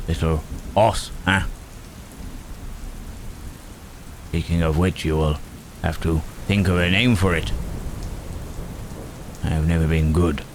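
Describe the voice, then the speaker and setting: A brooding, protective monster, acted by a man in an ASMR roleplay, with a very low voice and slow, halting delivery. His phrasing is formal and old-fashioned, thoughtful and gentle. Rain and thunder sound clearly behind him. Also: a little hiss or hum. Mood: tender.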